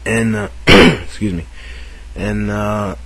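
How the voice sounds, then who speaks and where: Man with a cold, raspy and low, halting casual chat to camera. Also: steady tone, heavy background noise. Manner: halting, full of fillers and restarts. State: sick with a cold, sore throat. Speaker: video maker.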